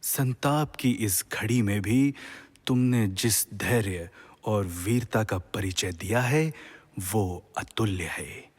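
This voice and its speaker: A man plays a wise, serene deity from a mythological radio play. The voice is low and a little husky, with very unhurried delivery. Every phrase is solemn, formal and measured, like counsel to a young warrior. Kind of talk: Hindi mythological audio drama.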